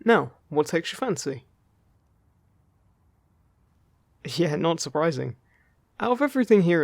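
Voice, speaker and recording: A man acts out a playful male fairy in a fantasy audio roleplay, speaking close and dry. His voice is lightly husky with some creak, and he talks in a casual, teasing, reassuring way. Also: on the high side, no room sound. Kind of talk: scripted audio roleplay addressed to the listener.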